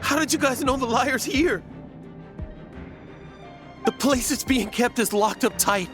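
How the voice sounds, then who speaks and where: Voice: medium pitch, a little slow, natural voice. An actor playing a panicky, stammering, cowardly enemy guard in a video game. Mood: panicked. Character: terrified, cowardly enemy guard, pleading and defensive. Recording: game music behind.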